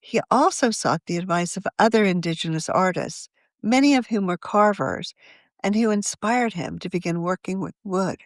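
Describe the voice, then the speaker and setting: A woman narrates a scripted magazine article in a measured, journalistic way. Her medium-pitched voice moves in lively rises and falls. It is recorded close and dry, with no room sound. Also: no echo. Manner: measured, journalistic read-aloud delivery. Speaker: magazine editor reading her own article.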